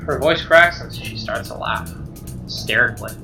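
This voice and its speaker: A man reading a visual novel aloud as dramatic, expressive narration, with game music behind him. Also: medium pitch, normal speed. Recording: slightly distant mic under game music.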